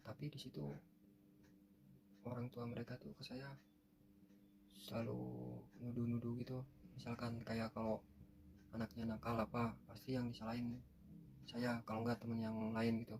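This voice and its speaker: A man's voice: medium pitch, creaky, background noise. A man telling his personal story in a casual, rambling way. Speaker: interviewee.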